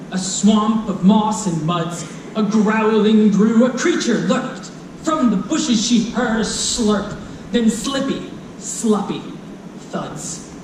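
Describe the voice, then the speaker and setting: A distant, echoing live recording of a storyteller reading rhymes for children. The delivery is slow, playful and theatrical, with big swoops in pitch. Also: darkish tone. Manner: playful, dramatic, drawn-out delivery with asides. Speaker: storyteller performing a children's book. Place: live performance room at a convention. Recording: roomy, distant live hall recording with noise.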